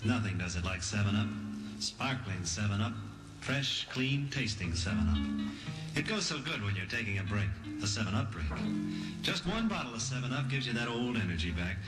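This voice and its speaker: A man announcing an old-time commercial with an upbeat salesman delivery. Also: medium pitch. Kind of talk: old-time soft drink ad read. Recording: echoey vintage ad with loud music.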